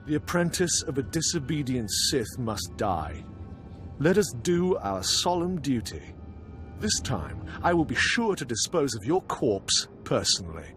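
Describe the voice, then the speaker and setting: An actor playing a withered old Sith lord in a video game, haughty and imperious, with a pompous, theatrical manner. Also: male voice, mid-range voice, very animated, measured pace, a bit bright, soft background music. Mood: haughty menace.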